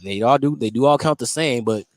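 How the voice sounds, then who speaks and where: A man's voice: medium pitch, fairly quick. A man doing live play-by-play basketball commentary on radio, calling each play in clipped, descriptive phrases. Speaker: play-by-play announcer. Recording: noisy live sports broadcast.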